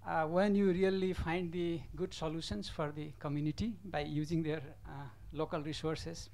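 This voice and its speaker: A man from Nepal commenting from the audience at a conference, halting and full of fillers. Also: on the high side, little pitch movement, vocal fry, a little mellow. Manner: halting, many fillers and restarts. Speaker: audience member asking a question.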